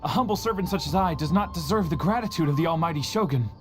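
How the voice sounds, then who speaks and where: A man's voice: fairly high pitch, animated, quick pace, over music, close to an everyday voice. A man voicing a humble, deferential servant. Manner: formal and self-effacing.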